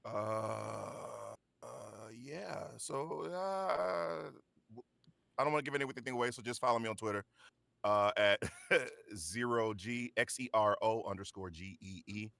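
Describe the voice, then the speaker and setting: A man who works as a voice actor, chatting casually and jokingly in a halting, rambling way. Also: medium pitch, expressive, creaky, a bit bright, no echo. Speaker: video game voice actor.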